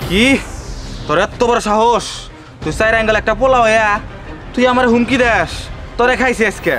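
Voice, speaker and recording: A man's voice: high voice, moderate pace, close to an everyday voice. A man voicing a tall, domineering big brother, swaggering and threatening, in a cartoon. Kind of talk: cartoon voice acting. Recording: music behind the voice.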